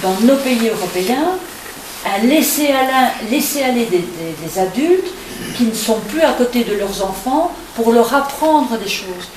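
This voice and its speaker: A woman's voice: on the high side, expressive, unhurried. Emphatic middle-aged woman in an echoey room. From Belgium. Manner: emphatic, lecturing, insistent. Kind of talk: public debate contribution. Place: public meeting hall. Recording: echoey room, distant mic, background noise.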